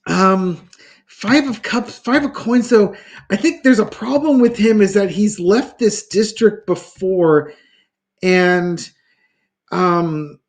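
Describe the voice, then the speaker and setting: A man's voice: high-pitched, slow. A man reading tarot cards in a casual talk show, thinking aloud with many fillers and restarts. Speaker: tarot reader.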